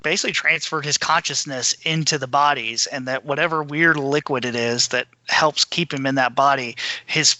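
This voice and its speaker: A man's voice: mid-range voice, steady tone, moderate pace, sharp tone, no room sound. A man co-hosting a podcast, talking casually and with a fan's enthusiasm about films and books. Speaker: podcast co-host. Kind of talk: casual film and book discussion.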